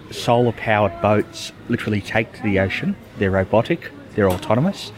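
A man, a futurist guest giving earnest, musing interview answers with many fillers. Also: lowish voice. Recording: noisy background.

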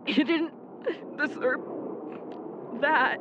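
A woman playing a wounded, tender-hearted young man in an audio drama, speaking in a halting, strained, pleading way. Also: sits high. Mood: distressed, guilty. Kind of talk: naturalistic audio drama acting. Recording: dull sound with vehicle road noise.

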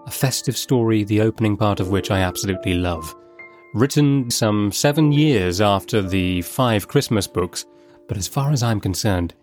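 A man hosting a story podcast, giving a scripted introduction to a reading. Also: fairly low pitch. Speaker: podcast host. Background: soft guitar music.

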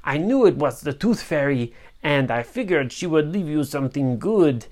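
A woman's voice: low-pitched, animated, normal speed, darkish tone. Woman putting on a gloating pirate captain. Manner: gloating, theatrical villain voice. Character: sneaky scheming male pirate captain.

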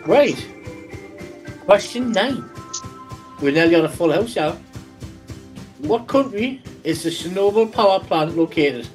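Brief: male quizmaster, playful and theatrical, bouncy pitch, light music. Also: expressive, measured pace, long pauses. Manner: playful, theatrical quiz delivery. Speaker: quizmaster host. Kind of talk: comedy podcast quiz.

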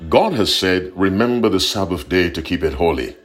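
A man delivers a short broadcast sermon in a very deep voice. His delivery swings widely in pitch, earnest and deliberate, and he repeats his key points for emphasis. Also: lively intonation. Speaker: preacher.

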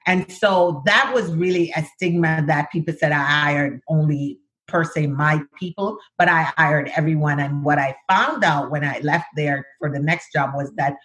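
A woman in her fifties with a Jamaican accent, telling her career story in a measured interview conversation. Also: sits low, a bit bright, rough recording. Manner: measured, sometimes overpronounces words. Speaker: guest, diversity executive.

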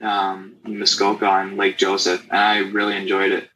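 Young Canadian male guest, laid-back, bright tone, faint room noise. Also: low voice, moderate pace, low-quality recording. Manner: relaxed, lots of "like" fillers. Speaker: guest, company founder. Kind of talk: casual interview chat.

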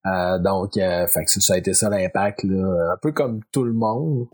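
Husky Quebec French man, halting. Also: low voice, darkish tone. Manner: halting, repeats words. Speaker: podcast guest. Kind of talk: casual interview answers.

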